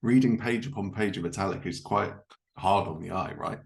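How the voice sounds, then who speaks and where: A man with a lowish voice and a strong creak hosts a podcast chat. He sounds agreeable and slightly hesitant, feeling his way through questions and comments in a casual way. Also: a little fast. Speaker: podcast host interviewing a guest.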